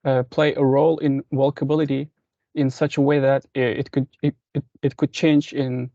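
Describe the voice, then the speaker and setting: A man's voice: medium pitch, little pitch movement, moderate pace, warm tone, no room sound. A young man from Indonesia with an Indonesian accent, giving a formal academic presentation over a lo-fi video call. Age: young adult. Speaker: master's student presenter. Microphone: computer on a video call. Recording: dull lo-fi online call.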